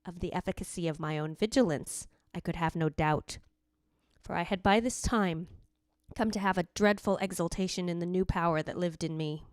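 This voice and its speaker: Actress doing a jealous dead wife's ghost, anguished radio drama narration, very dry close sound. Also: female voice, fairly low pitch, normal speed, no echo, natural voice. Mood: vengeful, brooding. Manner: theatrical, literary, anguished.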